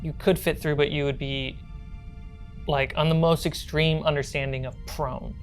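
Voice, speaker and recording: A woman with a low-pitched voice narrates a tabletop role-playing game. She works as the game master, setting scenes and calling for rolls, with faint music underneath. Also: fairly even intonation, moderate pace.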